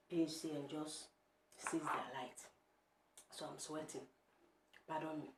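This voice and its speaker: A young Nigerian woman with an Igbo accent and a low, deep voice, vlogging from home. She talks emphatically and keeps putting direct questions to the viewer. The room sounds echoey. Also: mellow. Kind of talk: casual opinion vlog.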